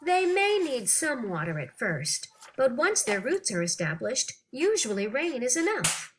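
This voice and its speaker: A woman reading an interview text aloud for children in an animated, clearly phrased, teacherly way. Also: high-pitched, unhurried, a little hiss or hum. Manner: animated, clearly phrased, acting out questions and answers.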